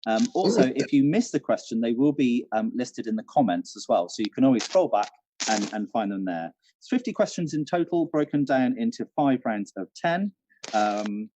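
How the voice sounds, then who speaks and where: British man, mellow voice, animated intonation, casual co-host chat, quiz announcements, dull muffled recording. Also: medium pitch, normal speed, a little hiss or hum. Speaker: quiz co-host, venue manager.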